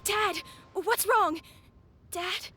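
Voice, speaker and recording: A woman's voice: extremely high pitch, drawn-out words, heavily acted. A woman playing an anxious young village child in a video game, fretful and childlike. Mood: frightened, pleading.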